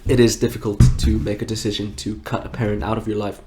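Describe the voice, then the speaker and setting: A man's voice: fairly low pitch, flat delivery, fast. Nasal man with a stuffy nose. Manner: frank, reflective, rambling. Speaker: health vlogger. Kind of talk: candid personal monologue.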